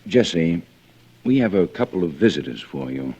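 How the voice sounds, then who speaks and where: Deep-voiced, gentle police lieutenant, muffled radio. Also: male voice, lively intonation, normal speed, husky, full character voice. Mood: gentle, uneasy. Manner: hesitant, gentle, courteous. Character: kindly, uneasy police lieutenant breaking news gently. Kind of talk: 1940s-50s radio drama acting. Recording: muffled old radio broadcast, faint noise.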